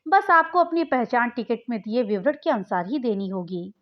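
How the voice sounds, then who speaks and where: A woman's voice: a little high, very animated, moderate pace. A woman voicing an earnest young male ticket seller in a story, with a polite, pleading and reassuring manner. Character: earnest, persuasive young man selling his spare train ticket. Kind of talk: storybook character dialogue. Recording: dull, lo-fi sound.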